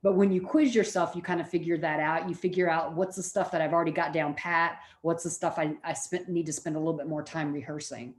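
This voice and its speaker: Lower-pitched woman lecturing conversationally. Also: steady tone, fairly quick, hardly any pauses. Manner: conversational, explanatory. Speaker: college psychology lecturer. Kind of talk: informal lecture to students.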